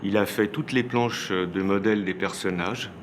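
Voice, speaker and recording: A man's voice: sits low, moderate pace. A man recalling his years as an animation studio worker in an interview. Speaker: former animation studio staffer. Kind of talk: reminiscing in interview. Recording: faint background noise.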